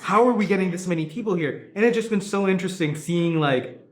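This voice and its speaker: A man's voice: high-pitched, fast talker. A man reflecting earnestly in a documentary interview about organizing events.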